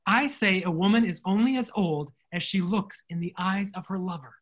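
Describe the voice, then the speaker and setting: A man's voice: high voice, moderate pace. A middle-aged man playing a devoted, romantic army captain in a stage comedy, earnest and theatrical. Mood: adoring. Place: indoor room, recorded over video call.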